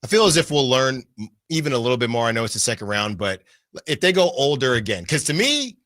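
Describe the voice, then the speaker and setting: Animated sports radio host, bright voice. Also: male voice, medium pitch, fast, no echo, clean studio sound. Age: 40s. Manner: animated, rambling, lots of restarts. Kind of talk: sports talk radio discussion.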